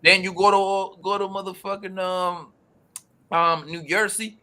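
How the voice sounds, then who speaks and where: A man talking casually as a podcast co-host, animated and swearing freely, calling the other man bro. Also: high voice, unhurried, slight background noise. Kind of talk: casual conversation.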